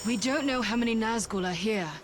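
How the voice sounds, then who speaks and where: An actress playing a guarded, steely warrior woman in a video game, speaking in a cool, measured, serious way. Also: fairly high pitch, loud music behind the voice, lightly acted. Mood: guarded, resolute. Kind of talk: dramatic video game dialogue.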